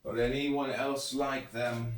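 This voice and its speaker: A man with a medium-pitched voice reads classical philosophy aloud. His delivery is deliberate and declamatory, setting phrases apart with emphatic pauses. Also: level tone, slight background noise. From United States. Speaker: reader, podcast host.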